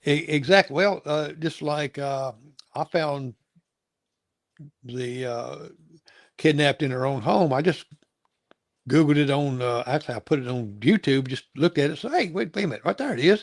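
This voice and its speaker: A man's voice: a little high, no room sound, clean studio sound. A man from North Carolina hosting a casual interview, rambling with frequent chuckles and restarts. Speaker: host.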